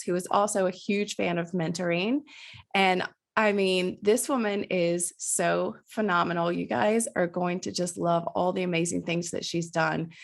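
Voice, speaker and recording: A woman hosting a podcast interview, enthusiastic and effusive, a little nasal and raspy from allergies. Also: lowish voice. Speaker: podcast host. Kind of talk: conversational interview.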